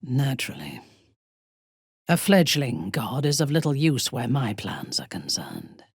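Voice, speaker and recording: Low, imperious dark elf warrior. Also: female voice, sing-song, vocal fry, breathy edge, no echo, clean studio sound, exaggerated voice. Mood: menacing, contemptuous. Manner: haughty, deliberate, menacing. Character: ruthless, imperious female dark elf warrior cultist, cold and proud. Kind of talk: video game character dialogue.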